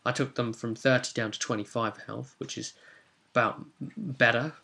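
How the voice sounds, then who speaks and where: Male game master for a casual session recap: mid-pitched with a creaky, fried edge, informal and rambling. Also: steady tone, normal speed, bright, forceful tone. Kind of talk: casual recap of a tabletop role-playing session.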